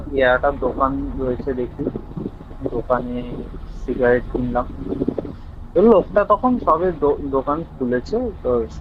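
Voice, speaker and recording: A young man with a West Bengal Bengali accent telling a personal story casually over a phone call, animated and dropping English words into his speech. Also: a little high. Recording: dull lo-fi call audio, faint vehicle-like noise.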